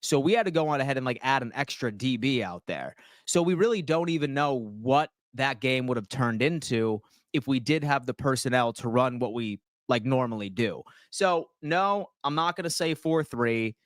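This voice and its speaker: A man, hosting a casual sports livestream and answering viewers' comments in slangy, animated talk. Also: medium pitch, normal speed, hardly any pauses, no echo, studio quality. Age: 30s. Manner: slangy, animated, reads chat aloud and answers.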